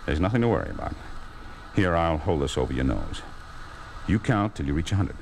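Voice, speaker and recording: Weary indignant american doctor, old radio play, low voice that swings a lot, noisy recording. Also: male voice, very animated, brisk, gravelly, darkish tone, full character voice. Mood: steady. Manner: incredulous, protesting. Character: weary, indignant doctor under a totalitarian regime. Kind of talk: 1950s radio drama acting.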